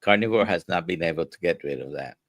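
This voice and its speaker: A man with a Spanish accent, a native Spanish speaker, hosting a casual live-stream interview as a doctor, talking haltingly with many "uh" fillers. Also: low voice, lively intonation, dry recording. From grew up speaking Spanish, now near Orlando. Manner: halting, many "uh" fillers, reads viewer questions. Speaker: host, physician.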